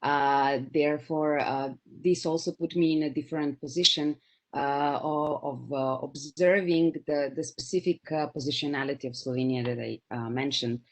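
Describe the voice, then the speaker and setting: A woman from Serbia, a researcher, giving a panel talk and pausing often on fillers. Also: low-pitched, fairly even intonation. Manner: hesitant, many fillers.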